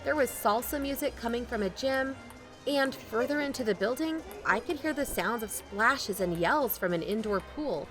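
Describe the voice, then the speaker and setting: A woman's voice: fairly high pitch, expressive, moderate pace, over music, close to an everyday voice. An actress playing a wary, candid young woman who narrates and talks in an audio drama in a conversational way. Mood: observant. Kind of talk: first-person audio drama narration and dialogue.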